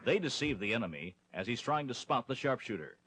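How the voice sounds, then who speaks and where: A man with a husky voice narrating a training film in a scripted, instructional style. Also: mid-range voice, very animated, a little fast, a bit bright.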